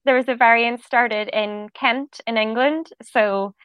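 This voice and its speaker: A woman's voice: fairly high pitch, steady tone, unhurried, fairly bright tone, clear, resonant tone, no room sound, rough recording. A woman with a Northern Irish accent, co-hosting a podcast in casual chat and laughing often. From Northern Ireland. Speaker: podcast co-host.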